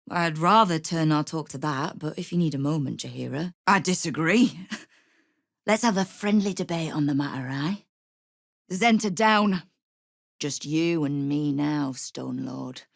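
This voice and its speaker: Casting: tough thieves' guild boss for a game, female, low pitch, wry, controlled menace, dry close recording. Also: measured pace, gravelly, no room sound, heavily acted. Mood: steely, challenging. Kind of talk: video game character dialogue.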